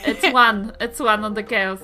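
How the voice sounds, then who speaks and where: A woman's voice: medium pitch, steady tone, a little slow, sharp tone. A woman chatting casually and playfully as a player in a tabletop game, giggly and mock-indignant. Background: faint background music.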